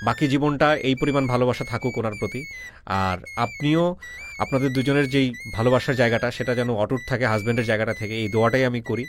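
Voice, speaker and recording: A man's voice: mid-range voice, quick pace, background noise. A man with a Bangladeshi accent hosting an emotive interview show, often repeating phrases for emphasis. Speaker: radio show host.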